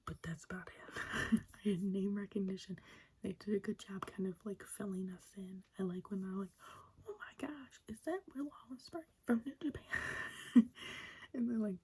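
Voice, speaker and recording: A woman's voice: mid-range voice, a little mellow, husky. A young woman whispering a chatty, enthusiastic recap of a show in ASMR style. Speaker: wrestling recap host.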